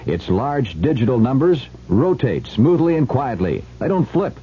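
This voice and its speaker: A man's voice: medium pitch, very animated, moderate pace, breathy edge. A man reading a scripted radio commercial as an announcer. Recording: dull lo-fi 1970s radio recording.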